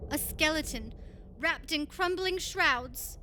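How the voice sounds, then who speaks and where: A woman's voice: high-pitched, slow pace, shouting. A woman playing an ominous, fearful figure who senses evil in a melodramatic radio horror play, portentous and dramatic. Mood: terrified. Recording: hissy recording with background noise.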